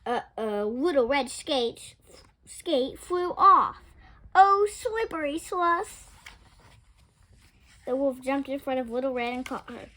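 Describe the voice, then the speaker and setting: A woman reading a children's picture book aloud with expressive, dramatic storytelling. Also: high voice, a little slow. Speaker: storytime reader.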